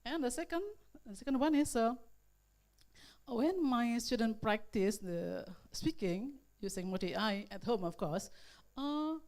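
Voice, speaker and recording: A woman with an Indonesian accent, a teacher asking a seminar question in halting, repetitive English. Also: fairly high pitch, animated, darkish tone.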